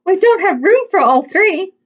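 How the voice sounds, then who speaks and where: A woman's voice: very high voice, sing-song, moderate pace, muffled recording, voice pushed up. A woman voicing a flustered, exasperated male pet sitter from a storybook, exclaiming and pleading.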